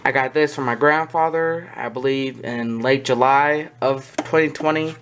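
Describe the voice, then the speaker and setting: A hobbyist collector talking through items on camera in a casual, halting way, often trailing off between points. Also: medium pitch, a little hiss or hum. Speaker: collector presenting a hobby video. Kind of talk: casual product walkthrough. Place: indoors in a small room at home. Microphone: handheld camera or phone near the speaker.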